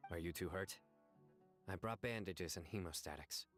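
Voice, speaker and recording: Guy voicing serious game officer, deep voice, terse but caring, faint music behind. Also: moderate pace, close to an everyday voice. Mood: quietly concerned. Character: stern, dutiful, quietly caring young male officer. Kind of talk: video game voice acting.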